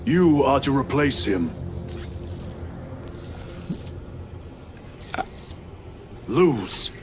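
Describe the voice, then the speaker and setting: An actor playing a stern, resolute veteran space marine in a video game, terse and commanding, over loud music. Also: male voice, medium pitch, sing-song, slow pace, noisy background. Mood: curt. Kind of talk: dramatic video game acting. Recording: loud cinematic music behind.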